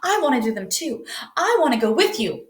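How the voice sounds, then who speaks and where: A woman's voice: sits high, big pitch swings, fairly quick, pitched above the performer's own voice. A woman voicing an eager, determined young girl in a storybook read-aloud, earnest and pleading. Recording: roomy indoor echo.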